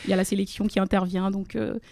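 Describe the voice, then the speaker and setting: A woman's voice: medium pitch, little pitch movement, fast talker, vocal fry, mellow, dry recording. A young woman from the Democratic Republic of the Congo, a law student guest on a radio interview, speaking formally with many hesitations. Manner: hesitant, many fillers, careful formal phrasing. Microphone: studio microphone.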